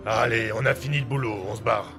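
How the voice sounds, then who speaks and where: A man playing an aggressive, suspicious gangster in a French audio drama, coarse, slangy and quick to threaten. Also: medium pitch, fairly even intonation, over music, lightly acted. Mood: offhand. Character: aggressive male gangster thug, suspicious and short-tempered.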